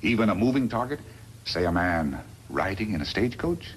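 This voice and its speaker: A man's voice: on the low side, big pitch swings, brisk. A man playing a cold, scheming villain boss in a 1950s radio western, insinuating and menacing. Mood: sly, menacing. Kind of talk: 1950s radio drama acting. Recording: slightly noisy 1950s radio recording.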